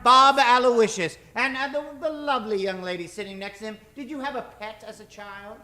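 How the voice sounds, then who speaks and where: A man's voice: very high voice, brisk, pushed and bright. A man hosting a live improv stage show, theatrical and mock-formal, projecting to the crowd in old-time radio style. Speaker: comedy show host. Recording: echoey theater stage, faint hiss.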